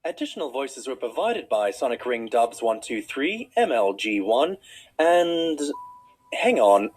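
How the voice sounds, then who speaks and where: Husky pony doctor sidekick played by a man. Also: medium pitch, sing-song, unhurried, rough recording. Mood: announcing. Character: amiable male pony doctor, detective's sidekick. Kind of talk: audio drama acting and credits reading.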